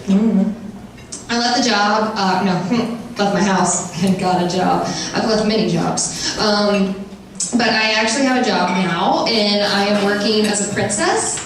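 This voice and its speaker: A woman's voice: mid-range voice, a little slow. A young woman doing a stand-up set in a dry, self-mocking style on a comedy club stage. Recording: echoey room from far off, crowd noise.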